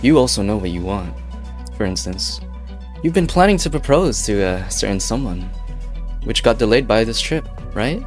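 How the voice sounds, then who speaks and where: A man playing a smooth-talking, scheming corporate businessman with a theatrical, coaxing manner in an amateur game dub. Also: fairly low pitch, big pitch swings, moderate pace. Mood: persuasive. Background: background music.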